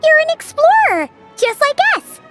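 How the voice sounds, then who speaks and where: A woman's voice: very animated, unhurried, heavily acted. A woman voicing a tiny, cheerful childlike adventurer companion in a video game. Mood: excited. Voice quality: squeaky, childlike. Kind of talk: voiced video game dialogue. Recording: loud music behind.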